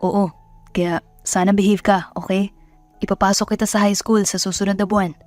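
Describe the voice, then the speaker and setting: A woman's voice: mid-range voice, flat delivery, moderate pace, darkish tone, no echo, faint music behind, close to an everyday voice. A woman voicing a devoted, confident young man in a romance audio drama, speaking in an assured and tender way.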